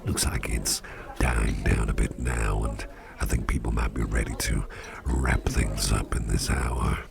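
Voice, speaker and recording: A man voicing a jovial, eager office boss chatting one-on-one at a party, chummy and teasing in soft half-whispers, as an ASMR roleplay. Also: very deep voice, flat delivery, measured pace, vocal fry, heavily acted. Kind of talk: ASMR roleplay, personal attention.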